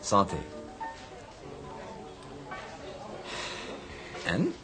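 An actor playing a suave, scheming heir to a shipping business in a radio drama, urbane and ironic, with formal diction. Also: male voice, normal speed, over music, close to an everyday voice. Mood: charming. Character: suave, scheming shipping magnate's son, charming and smug.

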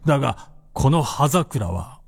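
A fearless warlord of old Japan in a radio drama. He speaks with slow, deliberate authority, and his pitch swings widely as he commands. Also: big pitch swings, creaky, breathy edge, dry recording, clean studio sound, exaggerated voice. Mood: defiant, grimly amused. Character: imperious, fearless samurai warlord facing his death.

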